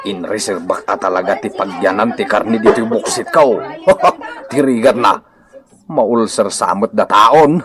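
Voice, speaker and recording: Actor as deep, grumbling, jokey village man. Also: a crowd in the background, close to an everyday voice. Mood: hungry, complaining. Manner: exclaiming, drawn-out complaints. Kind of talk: comedic radio drama acting.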